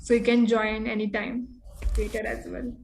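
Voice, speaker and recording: A woman's voice: on the high side, flat delivery, normal speed, vocal fry, darkish tone. A woman with an Indian accent hosting a casual online meeting, heard over a noisy video call. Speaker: meeting host.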